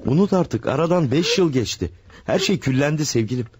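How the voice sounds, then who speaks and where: An actor playing a farmer husband who hides a murder and calmly soothes his new wife in a radio play. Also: a little high, brisk, close to an everyday voice. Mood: soothing. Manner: soothing, reassuring, smooth-talking. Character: scheming farmer husband, secretly a killer. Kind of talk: classic radio drama acting.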